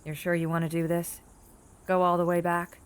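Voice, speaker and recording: A woman's voice: lowish voice, level tone, normal speed, clean tone, natural voice. A woman playing a shrewd, world-weary woman in an audio drama, frank and cautioning but guardedly kind. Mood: wary concern. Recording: music under the voice.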